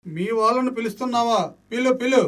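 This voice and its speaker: An actor playing a swaggering, smooth-talking companion of a villain in a radio play, mocking and flowery. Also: male voice, very high voice, fast, recorded from a distance. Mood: amused, scornful. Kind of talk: radio play acting in Telugu.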